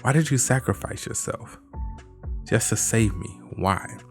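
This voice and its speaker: A man's voice: on the low side, unhurried, faint music behind. A man reading aloud a loyal, desperate young healer from a fantasy game webtoon, pleading and earnest. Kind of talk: webtoon dialogue read aloud.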